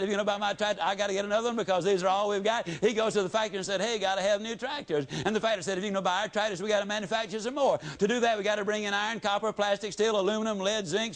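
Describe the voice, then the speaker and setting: A middle-aged man giving a motivational sales speech, telling folksy stories with rapid-fire repetition and dramatic pauses. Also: high-pitched. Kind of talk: motivational speech to a live audience.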